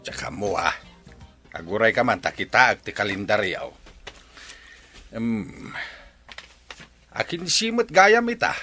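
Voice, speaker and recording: A stern elderly grandfather with an Ilocano accent, voiced by a man. His voice is low with a bright edge, and his gruff, dismissive lines swing sharply in pitch. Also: big pitch swings, unhurried, pauses often, faint music behind, heavily acted. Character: stern older grandfather, husband.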